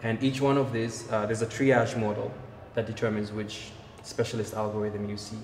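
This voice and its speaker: Man speaking flatly in an echoey room. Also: fairly low pitch, slight background noise. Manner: measured, with frequent fillers. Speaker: healthtech co-founder. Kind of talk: technical interview answers. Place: bright office by large windows, indoors.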